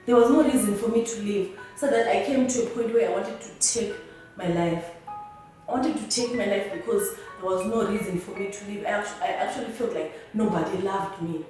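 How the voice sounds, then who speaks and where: Zimbabwean woman in her young adult years, earnest halting testimony, mid-pitched voice, recorded far off in a very echoey room. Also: a little mellow, soft background music. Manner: halting and earnest, often restarting words. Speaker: interviewee. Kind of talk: personal testimony.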